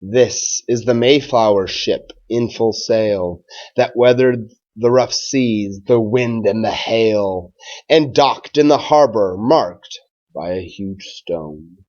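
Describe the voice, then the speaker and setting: A man who is a schoolteacher doing a read-aloud for children and talking to pupils in a measured, simple way. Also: lowish voice, a little mellow, dull audio.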